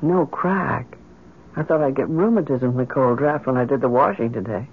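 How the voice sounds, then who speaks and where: A woman's voice: a bass voice, moderate pace, a little hiss or hum. An actress playing a grief-stricken, inconsolable middle-aged mother in an impassioned, theatrical old-time radio drama style. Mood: insistent. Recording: muffled vintage radio broadcast.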